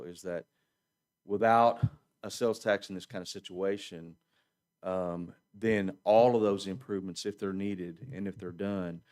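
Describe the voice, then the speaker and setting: A man answering council questions at a city meeting, polite and hesitant, with many pauses and restarts. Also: low voice, darkish tone. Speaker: utility organization official answering council.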